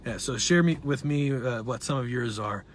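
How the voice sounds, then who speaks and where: Man, raspy laryngitis voice, mid pitch, earnest conversational vlog, faint noise behind. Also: normal speed. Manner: earnest, conversational, with frequent fillers. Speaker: dietitian and nutrition professor. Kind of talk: casual talk to camera.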